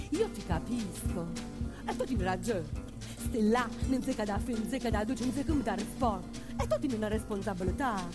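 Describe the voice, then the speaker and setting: An actress playing a loving, insistent townswoman with a southern Italian accent, pleading and affectionate in a live theatre monologue. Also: a little high. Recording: live music loudly behind the voice. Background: live music.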